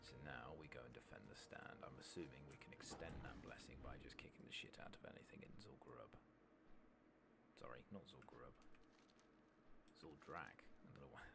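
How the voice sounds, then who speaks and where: A man giving relaxed, soft-spoken ASMR commentary over a video game, murmuring casually and sometimes dropping toward a whisper. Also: extremely low pitch, moderate pace, vocal fry. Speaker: host of a gaming ASMR channel. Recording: loud steady background noise and ambience.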